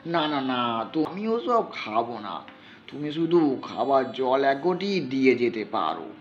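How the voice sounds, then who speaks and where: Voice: mid-range voice, very animated, dull audio. A narrator voicing an elderly village grandfather and father-in-law, guarded and hesitant, in an audio story. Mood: flustered, guarded. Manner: drawn-out calling and hesitant, repetitive speech. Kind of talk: acted dialogue in an audio story.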